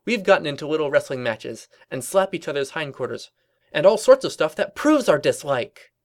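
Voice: mid-range voice, big pitch swings, moderate pace, voice pushed up. A performer voicing an indignant young female cartoon pony revolutionary, declaiming and snapping defensively in a story reading.

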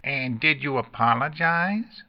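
A man's voice: medium pitch, lively intonation, unhurried, highs cut off, voice pushed up. A man voicing a curious young pony filly with a nasally voice in a storybook reading. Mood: curious, puzzled.

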